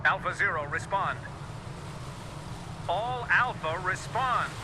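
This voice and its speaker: Military radio operator, video game, commanding, higher pitch, radio effect, background noise. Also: male voice, animated, slow pace. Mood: alarmed. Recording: noisy military radio transmission.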